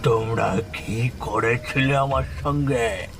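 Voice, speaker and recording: A man voicing the vengeful, lonely ghost of a murdered young man in a horror cartoon, with drawn-out, menacing delivery. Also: mid-range voice, over music. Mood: bitter, accusing.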